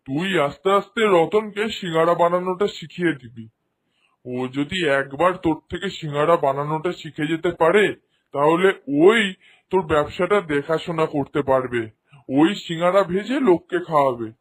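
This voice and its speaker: Man playing a higher-pitched, effusive village gentleman. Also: moderate pace, natural voice. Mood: helpful, advising. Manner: exclamatory, familiar, advising. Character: village gentleman customer, effusive, paternal, bossy but helpful. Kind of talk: Bengali cartoon voice acting.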